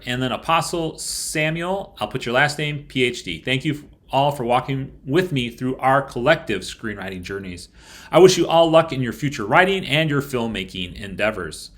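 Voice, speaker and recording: A man hosting an informal review video, explaining things to viewers in a halting way. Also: medium pitch, lively intonation, fairly bright tone. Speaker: host of a screenwriting review channel.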